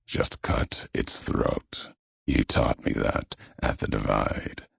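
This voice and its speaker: Casting: brooding, fatalistic game courier, heavy fry and husky grain, grave, cryptic, unhurried menace. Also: male voice, fairly even intonation, no room sound, studio quality, full character voice. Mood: menacing. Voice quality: deep, gravelly, raspy. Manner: slow, solemn, cryptic, clipped phrases. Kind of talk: video game character dialogue.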